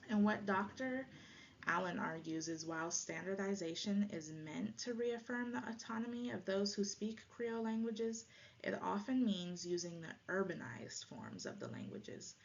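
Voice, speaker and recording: A woman's voice: mid-range voice, moderate pace, gravelly. A woman who natively speaks Jamaican Patois gives an explanatory academic presentation, often checking in with "right?". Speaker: presenter, lecturer. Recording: dull lo-fi audio, faint noise.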